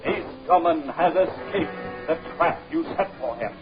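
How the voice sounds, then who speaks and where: High-pitched mysterious villain. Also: male voice, expressive, unhurried. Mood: commanding. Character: mysterious commanding serial villain, menacing. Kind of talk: 1930s film serial acting. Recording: dull film soundtrack with music.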